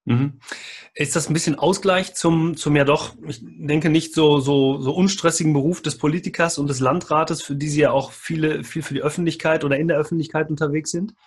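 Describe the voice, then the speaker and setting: A man hosting an interview podcast, moving between scripted presentation and questions, with a husky voice. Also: fairly high pitch, fairly even intonation, a little fast.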